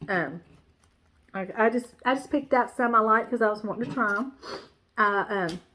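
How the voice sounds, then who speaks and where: A woman's voice: fairly high pitch, moderate pace. A woman with a stuffy, nasal voice, chatting casually about her cross-stitch supplies in a rambling way, with lots of "um"s and restarts. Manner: rambling, warm, many fillers and restarts. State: stuffy, congested nose. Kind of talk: casual show-and-tell chat.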